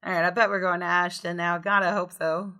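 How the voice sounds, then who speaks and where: A woman's voice: fairly low pitch, flat delivery, brisk, pushed and bright, clean tone. A woman reading visual novel text aloud and reacting casually and expressively as she plays. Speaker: gamer doing a visual novel let's play. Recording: music under the voice.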